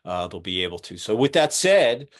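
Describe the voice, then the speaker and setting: A man with a slightly low voice hosts an interview show. He introduces his guest and lays out the format in a halting way, full of uhs and restarts. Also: very animated. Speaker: podcast host. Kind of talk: interview hosting.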